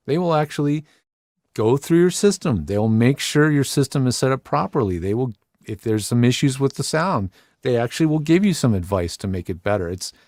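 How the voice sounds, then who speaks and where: A man co-hosting a talk show, reading a sponsor spot and chatting in a relaxed, easygoing way with frequent laughs. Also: fairly low pitch, no echo, studio quality. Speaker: co-host. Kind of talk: casual show chat and sponsor read.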